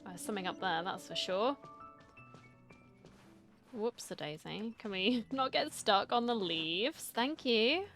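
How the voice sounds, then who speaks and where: A woman's voice: mid-range voice, animated, slow, long pauses, fairly bright tone. A woman giving casual, reactive let's-play commentary, chatty and given to muttered asides. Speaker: game streamer. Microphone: close mic, dry. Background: faint game music.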